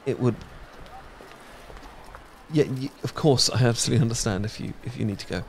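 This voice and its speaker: A man's voice: medium pitch, a little fast, creaky, slight background noise. A young British man in a romantic audio roleplay, talking shyly with many fillers and restarts. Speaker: ASMR audio roleplay performer.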